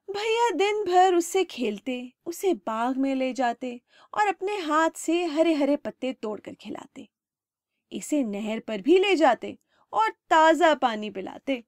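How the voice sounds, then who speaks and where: High-voiced woman telling a children's story. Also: big pitch swings, dry recording, studio quality. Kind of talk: expressive children's story narration.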